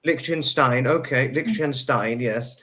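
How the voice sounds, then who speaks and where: A man's voice: mid-range voice, unhurried, background noise. A man hosting a livestream chat, polite, a little formal and apologetic in manner. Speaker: livestream host. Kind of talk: casual chat over a livestream.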